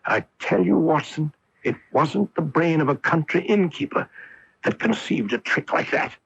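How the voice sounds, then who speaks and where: A man playing a keen, confident, amused master detective in a theatrical radio mystery style, with a raspy voice. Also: on the high side, expressive, normal speed, fairly bright tone, exaggerated voice. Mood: triumphant. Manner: theatrical, emphatic deductive delivery. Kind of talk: 1980s radio mystery acting. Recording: dull 1980s AM radio broadcast.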